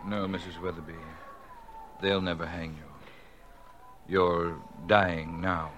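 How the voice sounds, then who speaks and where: An actor playing a calm, grave rescuer in a 1940s radio thriller, measured and quietly firm. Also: male voice, low-pitched. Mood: soothing, grave. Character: calm, grave rescuer who is secretly the hunted man. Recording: noisy vintage 1940s radio recording.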